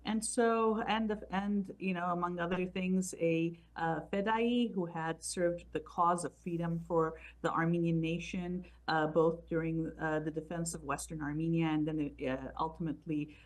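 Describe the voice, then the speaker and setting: Halting, lower-voiced woman recounting history. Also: steady tone. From San Francisco, United States. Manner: hesitant, many fillers. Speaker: guest, community activist. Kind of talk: conversational interview answers.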